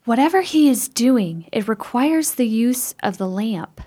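A woman playing a bold, curious, strong-willed sister in a radio chiller, with formal, dramatic diction and a husky voice. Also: on the high side, expressive, a little slow, dark tone. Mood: tense, awed. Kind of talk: theatrical radio play acting.

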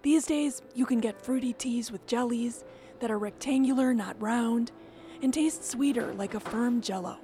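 Female radio reporter, scripted feature narration, measured and descriptive, slightly high voice. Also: mellow, faint music behind. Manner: measured, descriptive storytelling read.

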